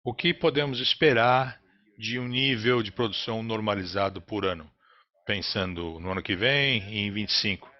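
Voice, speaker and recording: A man with a Brazilian accent interprets a business earnings call live, in a halting, phrase-by-phrase delivery. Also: lowish voice. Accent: Brazilian Portuguese. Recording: dull, lo-fi conference call audio.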